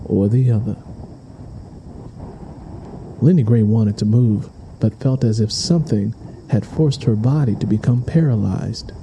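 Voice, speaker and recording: A man's voice: mid-range voice, warm tone, slight background noise, poor audio. A man reading scripted, dramatic narration for an audio drama. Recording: music behind the voice. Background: music.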